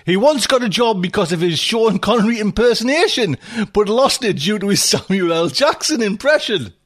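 A man's voice: high-pitched, big pitch swings, moderate pace. A man hosting a podcast, introducing a story in a casual, rambling and enthusiastic way. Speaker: podcast host.

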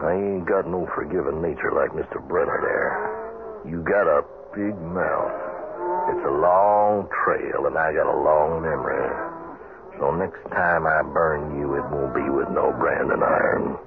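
An actor playing a mean, vengeful cowhand with an American Western accent and a sneering, menacing manner in a radio western. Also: male voice, extremely low pitch, lively intonation, measured pace, gravelly, full character voice. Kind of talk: old-time radio western acting. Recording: tinny, noisy old radio recording.

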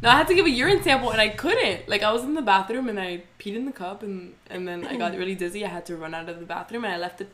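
A young woman in her early twenties co-hosts a podcast, telling a story in a loose, rambling way. She is giggly and leans on filler words, and her pitch sits right in the middle for a woman. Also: lively intonation, fast, few pauses, a bit bright. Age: 20s. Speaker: podcast co-host. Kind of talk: casual chat.

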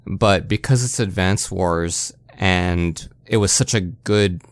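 Smooth, close-sounding man chatting about games. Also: low voice, slow pace, no echo. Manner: conversational, punctuates points with rhetorical check-ins. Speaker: podcast host. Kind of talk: casual video game discussion.

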